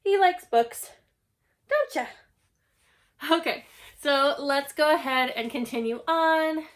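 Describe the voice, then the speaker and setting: A young woman with a slightly hoarse voice, recovering from a cough, chatting casually at home about a stack of books. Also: sits high, takes it slowly. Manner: chatty, self-deprecating, rambling. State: hoarse, getting over a cough. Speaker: book channel host. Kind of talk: casual book haul vlog. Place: at home, indoors.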